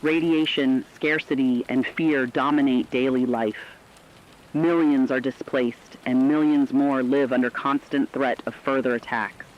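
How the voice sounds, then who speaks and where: Male actor as solemn radio war reporter, medium pitch, emphatic scripted read, muffled lo-fi broadcast. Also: steady tone, unhurried, natural voice. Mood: grave, resolute. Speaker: news correspondent. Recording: dull lo-fi radio sound.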